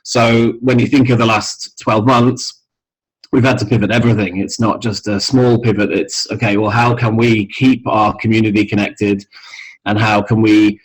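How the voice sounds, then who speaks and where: A man's voice: fairly low pitch, normal speed, slightly rough, low-quality recording. Mild Scottish-tinged man on a video call. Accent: mixed international, mild Scottish. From Scotland. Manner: relaxed, many ums and restarts. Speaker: charity CEO, interview guest. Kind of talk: casual video call interview.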